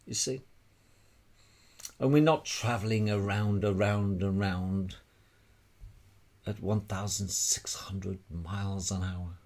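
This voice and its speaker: A man's voice: lowish voice, steady tone, takes it slowly, long pauses, a little mellow. A man giving an informal, emphatic explanatory monologue as an amateur presenter, repeating phrases and posing rhetorical questions.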